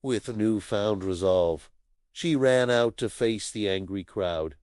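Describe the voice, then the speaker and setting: A woman narrating a children's cartoon story in an expressive, dramatic manner. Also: extremely low pitch, takes it slowly, no echo, studio quality.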